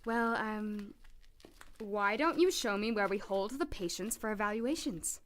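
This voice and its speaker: A woman's voice: medium pitch, expressive, unhurried, slight background noise, lightly acted. A woman playing a composed, plucky woman doctor in an audio drama, speaking politely and directly. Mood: probing.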